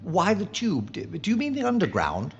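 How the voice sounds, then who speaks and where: An actor as a gravelly, courteous British inspector. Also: male voice, high-pitched, huge pitch swings, moderate pace, full character voice. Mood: tense, courteous. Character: courteous, dry British gentleman inspector, brave. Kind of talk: radio drama acting. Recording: muffled, noisy dramatised scene.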